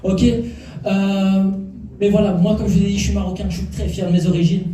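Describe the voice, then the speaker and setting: A man's voice: high-pitched, level tone, fairly quick, dark tone. A man doing a stand-up routine on a club stage, teasing the crowd and working the audience. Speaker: stand-up comedian. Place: comedy club stage before a live audience. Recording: echoey room, from far off.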